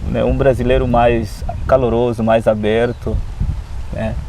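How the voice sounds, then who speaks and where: A man from Africa with an African accent, a non-native Portuguese speaker, telling his testimony as an Adventist preacher in an animated way, often adding "né". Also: medium pitch, a little slow, a little hiss or hum, low-quality recording. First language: not Portuguese. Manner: animated storyteller who often adds "né". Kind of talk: personal testimony in conversation.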